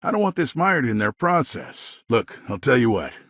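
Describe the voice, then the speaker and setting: An actor playing a slick, corrupt colonial politician in a video game, smooth-talking, smug and condescending with small evasive hesitations. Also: male voice, mid-range voice, sing-song, moderate pace, fairly bright tone, no room sound, clean studio sound. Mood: sly, persuasive. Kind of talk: video game character dialogue.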